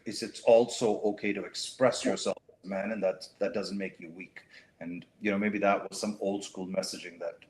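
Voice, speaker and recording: A middle-aged man raised in the Middle East, speaking as a talk show guest in a thoughtful, measured way with frequent fillers and restarts. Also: on the low side. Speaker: guest, writer and educator. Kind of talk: reflective talk show conversation.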